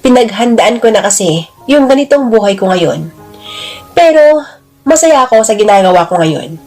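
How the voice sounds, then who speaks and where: Audio drama: teasing, gushing male buddy voiced by a woman, high-pitched, flirty banter over music. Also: expressive, normal speed, darkish tone. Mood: chummy, wistful. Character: Victor, teasing, chummy, flirtatious man, a security guard.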